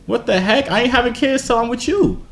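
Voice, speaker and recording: A man's voice: very high-pitched, lively intonation, a little fast. A young man joking and teasing in slangy banter with a stranger on a video chat, egging his little brothers on. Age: young adult. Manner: playful, teasing slang talk. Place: small room indoors. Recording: echoey room with clear background noise.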